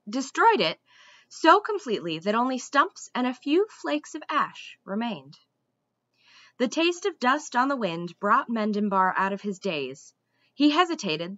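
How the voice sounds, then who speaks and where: A young adult woman reading a storybook aloud like a teacher, with deliberate, dramatic phrasing. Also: fairly high pitch, big pitch swings, sharp tone, dry recording, highs cut off. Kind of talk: expressive storybook read-aloud. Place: small room indoors at home.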